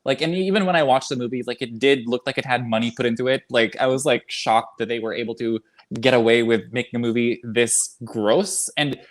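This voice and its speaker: A man's voice: medium pitch, brisk. A man co-hosting a book club chat, talking eagerly with many fillers. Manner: animated, lots of fillers, jumps in eagerly. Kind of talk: casual chat.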